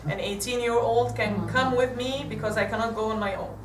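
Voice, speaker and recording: An Egyptian woman in her forties gives a church talk with slides, halting and restarting often. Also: fairly high pitch, fairly even intonation, a little hiss or hum. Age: 40s. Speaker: guest speaker. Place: church hall. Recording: echoey hall from far off.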